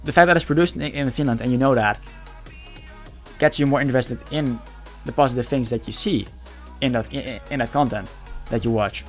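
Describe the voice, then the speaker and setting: A man whose first language is Dutch speaks English with a Dutch accent in casual livestream chat. He talks haltingly, searching for words and often restarting. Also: medium pitch, faint music behind. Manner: halting, searching for words, many repeated starts.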